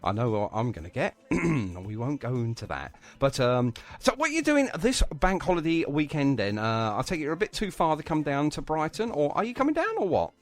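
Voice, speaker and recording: A man's voice: fairly high pitch, big pitch swings, a little fast, few pauses. A man hosting a casual radio interview, chatting in a wordy, encouraging way. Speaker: radio show host.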